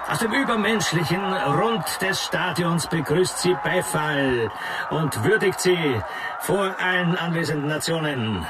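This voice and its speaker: Guy acting a male sports commentator, high pitched, grand and a bit halting, super noisy broadcast sound. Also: close to an everyday voice. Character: male sports reporter commentating a victory ceremony.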